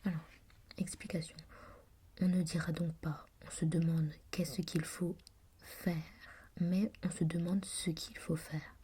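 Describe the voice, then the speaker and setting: A woman whispers all the way through, soft and musing as she reads aloud and remarks on what she finds. Her voice sits low and mellow. A faint hiss of noise lies under it. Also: little pitch movement. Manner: soft and musing, pausing to comment. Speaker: reader. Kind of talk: whispered reading of a language book.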